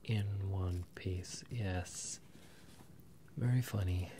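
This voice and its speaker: A man in his twenties softly whispering and murmuring a halting ASMR roleplay as an apologetic wizard professor, close to the microphones. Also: background noise. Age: 20s. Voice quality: soft, breathy whispering and low murmuring. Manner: hesitant, halting, in-character delivery as a wizard professor. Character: bemused, apologetic wizard professor addressing a student. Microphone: close stereo microphones, ear to ear.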